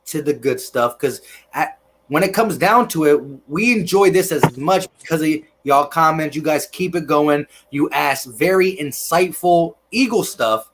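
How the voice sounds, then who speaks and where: A man hosting a casual live-streamed sports podcast, reading out viewer comments in a relaxed, laid-back way over a video call. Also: on the high side. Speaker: podcast host reading chat.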